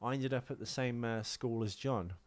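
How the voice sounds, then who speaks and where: British bloke hosting a comedy podcast, medium voice, rambly and self deprecating. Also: male voice, mid-range voice, moderate pace. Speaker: podcast host. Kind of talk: casual comic podcast chat.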